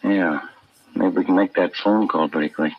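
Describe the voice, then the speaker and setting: A man's voice: sits low, steady tone, normal speed, lightly acted. A man playing a businesslike American police detective partner, casual and laconic, in a 1950s radio drama. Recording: dull, noisy old radio recording.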